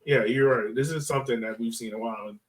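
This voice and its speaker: A man's voice: medium pitch, steady tone, quick pace, recorded from a distance. A man hosting a soccer podcast, talking through analysis in a casual, conversational way full of filler phrases. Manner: conversational, peppered with "like" and "you know". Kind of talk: casual sports analysis chat.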